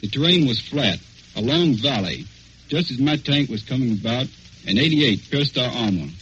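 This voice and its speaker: A man's voice: medium pitch, animated, normal speed, a bit bright. An American man from Kentucky, an army officer recounting his service in a scripted 1940s radio interview. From Louisville, Kentucky, United States. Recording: dull, noisy 1940s radio recording.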